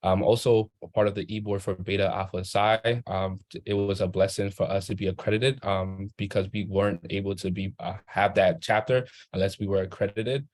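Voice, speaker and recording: A man's voice: sits low, steady tone, moderate pace, hardly any pauses, a little mellow, no echo. A young man from Haiti, a student panelist, introducing himself earnestly with many ums on a video call. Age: young adult.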